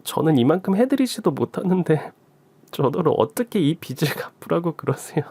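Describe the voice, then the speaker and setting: Guy doing a fortyish daughter-in-law, polite n emotional, pitched up a bit, very animated, radio story. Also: moderate pace, breathy edge, no room sound, full character voice. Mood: moved, grateful. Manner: polite, emotional, gently protesting. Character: grateful, tender-hearted daughter-in-law of forty. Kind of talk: Korean radio story acting.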